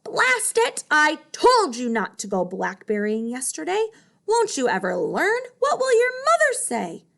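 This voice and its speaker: A woman's voice: high-pitched, huge pitch swings, measured pace, gravelly, pitched above the performer's own voice, heavily acted. A woman voicing an angry, vain male lion from a children's storybook, bossy and fretful, given to exclaiming. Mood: furious, yelling. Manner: yelling, exclamatory, demanding.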